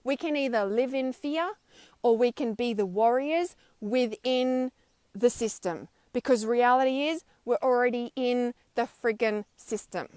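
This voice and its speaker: A woman's voice: fairly high pitch, unhurried, darkish tone, clean tone, dry recording, studio quality. A woman in her thirties talking casually outdoors in an emphatic, chatty way, often tagging her points with "right?" and "okay?". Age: 30s. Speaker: spiritual vlogger. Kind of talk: casual livestream monologue. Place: outdoors, wind audible.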